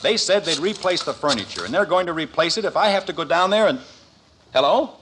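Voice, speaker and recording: An actor playing an exasperated, sarcastic middle-aged suburban father in a 1950s radio sitcom, with indignant blustering and a husky voice. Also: a little high, expressive, fairly quick, background noise, lightly acted.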